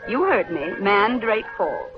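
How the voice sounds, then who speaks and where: A woman's voice: medium pitch, very animated, unhurried. Wry newspaperwoman, dull vintage radio. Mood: wry, brisk. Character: shrewd society-girl newspaperwoman with a wry wit. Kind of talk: 1930s radio acting. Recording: dull 1930s radio broadcast, music behind.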